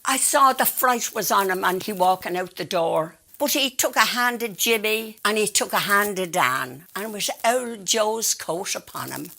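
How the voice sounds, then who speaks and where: An Irish woman giving a dramatic recitation of narrative verse, telling it like a story with deliberate pauses and emphasis. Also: mid-range voice, expressive, vocal fry, pushed and bright. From Ireland. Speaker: monologue reciter.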